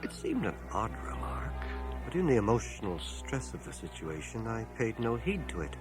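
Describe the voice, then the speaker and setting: An actor playing a reflective older gentleman, narrating his past in a literary, expressive radio drama style. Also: on the low side, moderate pace, loud music behind the voice. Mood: grave. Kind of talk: scripted first-person radio drama narration. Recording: cassette transfer of 1980 radio broadcast.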